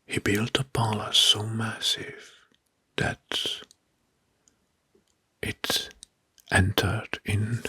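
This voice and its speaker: A man narrating history as ASMR in a breathy, hushed whisper, slowly and deliberately, softly repeating words. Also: fairly low pitch, wildly swooping pitch, no echo.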